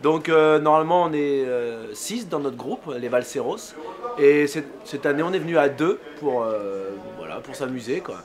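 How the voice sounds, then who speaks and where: A man's voice: fairly high pitch, lively intonation, normal speed. A man street musician speaking in an easygoing way, with many fillers, in a festival interview.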